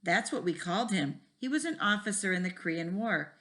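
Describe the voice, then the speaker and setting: An easygoing storybook man who teaches computers , as read by a woman. The delivery is folksy and explanatory, with lively rises and falls in pitch. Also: a little fast. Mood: reminiscing. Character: friendly, joking male computer teacher, easygoing.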